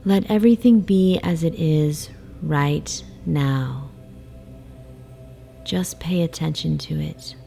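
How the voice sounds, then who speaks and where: A woman guiding a sleep meditation in a soft, soothing, hypnotic way, with breathy phrase endings and long pauses. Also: sits low, faint music behind. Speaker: meditation guide. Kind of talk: guided sleep meditation, slow hypnotic narration. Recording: faint background noise, ocean waves. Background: ocean wave sounds.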